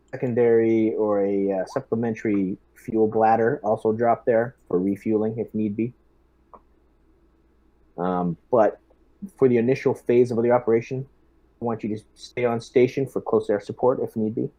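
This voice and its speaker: A man playing a squad lieutenant in a tabletop role-playing session, speaking in a deliberate, halting, businesslike way. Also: on the low side, fairly even intonation, mellow, lo-fi sound. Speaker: player acting as squad lieutenant.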